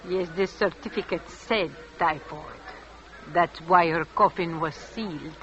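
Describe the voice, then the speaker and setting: A woman's voice: on the low side, a little slow, close to an everyday voice. Woman playing gossipy village shop lady, nosy n blunt, old radio play, dull sound w music. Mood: disapproving. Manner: chatty, insinuating, blunt. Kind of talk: 1940s radio drama acting.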